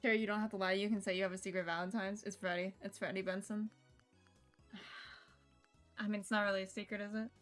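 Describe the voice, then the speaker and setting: A woman's voice: mid-range voice, little pitch movement, a little fast, pauses often. A young woman live streaming a game, rambling and joking casually, swearing now and then and reading text aloud. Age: young adult. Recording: music playing behind the voice. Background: visual novel game music.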